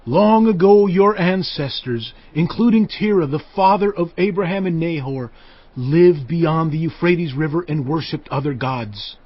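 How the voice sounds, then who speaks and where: An actor playing a stern, commanding Israelite leader, declaiming solemnly and emphatically in a dramatized audio Bible. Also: male voice, a little high, unhurried, no echo, muffled recording. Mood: solemn, proclaiming. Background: music.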